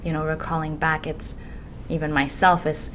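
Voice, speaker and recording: A woman's voice: low-pitched, little pitch movement, normal speed, a bit bright, slight background noise. A woman who teaches meditation, talking in a flowing, casual way that is full of filler words. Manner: flowing, with many "you know" and "like" fillers. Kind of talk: casual podcast conversation.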